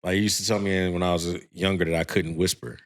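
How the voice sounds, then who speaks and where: A man in his thirties from with a deep, heavy baritone, talking in a laid-back, rambling way with lots of fillers as a podcast guest. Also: flat delivery, fast talker. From Houston, Texas. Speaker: guest singer. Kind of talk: casual podcast chat.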